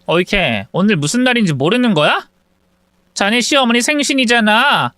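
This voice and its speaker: A man voicing a grown daughter who scolds her brother in a quick, indignant way. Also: sits high, expressive, sharp tone, no room sound, studio quality, pitched above the performer's own voice.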